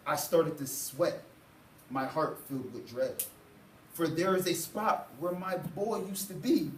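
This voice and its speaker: A young man reading a children's story aloud to a class with expressive, dramatic phrasing, as a student teacher. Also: a little high, a little slow. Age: young adult. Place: indoor school classroom. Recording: roomy, distant mic, faint noise.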